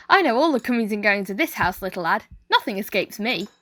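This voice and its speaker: A woman's voice: medium pitch, big pitch swings, normal speed, bright, forceful tone. A woman playing a motherly, nosy household cook in an amateur comedy murder mystery. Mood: flustered, boastful.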